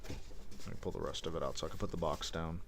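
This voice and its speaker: A man's voice: rumbling low, steady tone, quick pace, creaky, background noise. A man hosting a live stream in a casual, unscripted way, rambling loosely as he shows gear. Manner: rambling, loose, informal phrasing. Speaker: live stream host reviewing music gear. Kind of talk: casual unscripted unboxing demo talk.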